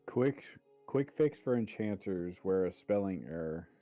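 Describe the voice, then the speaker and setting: A man with a low voice hosts a gaming stream, talking in a laid-back, matter-of-fact way. The sound is dull and lo-fi, with faint music underneath. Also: lively intonation, a little slow. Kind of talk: casual gamer chat.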